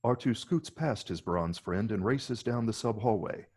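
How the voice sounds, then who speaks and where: A man's voice: fairly low pitch, animated, unhurried, a little mellow, breathy edge. A man narrating stage directions from a film script in a dramatic table read.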